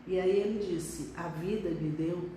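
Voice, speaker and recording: A woman's voice: lowish voice, measured pace, mellow, a little hiss or hum. A middle-aged woman pediatric neurologist from Brazil, talking reflectively on a live stream with some hesitations. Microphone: phone on live stream. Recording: echoey room, distant from mic.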